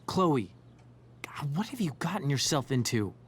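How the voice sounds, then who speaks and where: An actor voicing a jealous, worried teenage boy in a video game, pleading and accusing in an emphatic, drawn-out way. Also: fairly high pitch, big pitch swings, slightly rough, full character voice. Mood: hurt, agitated.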